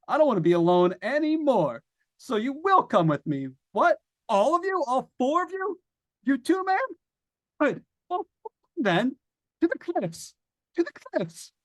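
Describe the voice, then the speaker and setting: A woman acting a frightened radio character slipping into dazed mania, halting and rambling in old-time suspense style. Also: high voice, big pitch swings, dry recording, full character voice. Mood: pleading, eager. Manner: halting, rambling, as if answering unseen listeners.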